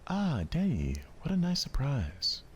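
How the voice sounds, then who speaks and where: A man voicing a sweet, poised, popular high school girl from a visual novel, gracious and welcoming. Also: huge pitch swings, measured pace, muffled recording, slight background noise. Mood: pleasantly surprised.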